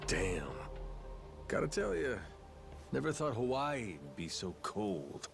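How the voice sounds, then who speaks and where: An actor playing a gruff, easygoing Yakuza man with amnesia in a video game, speaking in rough, teasing, folksy slang. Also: medium pitch, big pitch swings, slow pace, heavily acted. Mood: warm, grateful. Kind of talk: video game cutscene acting. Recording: faint music behind the dialogue.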